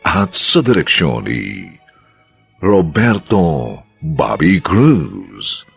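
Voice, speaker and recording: Slow, theatrical male radio drama announcer. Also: rumbling low, wildly swooping pitch, creaky, husky. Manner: dramatic, grandiloquent, drawn-out phrasing. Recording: faint music under the voice.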